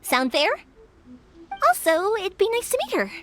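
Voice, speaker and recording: A woman's voice: extremely high pitch, sing-song, unhurried, heavily acted. A woman playing a cheerful, sincere, big-sisterly young fireworks maker in a video game, with a breezy, earnest manner. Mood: friendly, playful. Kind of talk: video game character dialogue.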